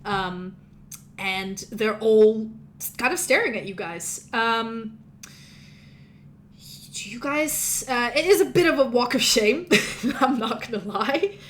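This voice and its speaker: A woman's voice: fairly high pitch, slow pace, slight background noise. A woman whose first language is Russian, narrating as game master in a rambling, chatty way, often correcting herself. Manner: chatty, rambling, self-correcting, laughs at own slips.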